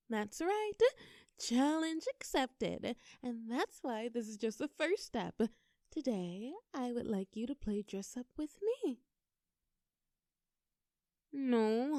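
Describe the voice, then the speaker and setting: A woman's voice: a little high, warm tone, no room sound, studio quality. A young woman playing a bubbly, affectionate girlfriend in an intimate audio roleplay, talking playfully and tenderly to her partner in a coaxing, conversational way. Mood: teasing, coaxing.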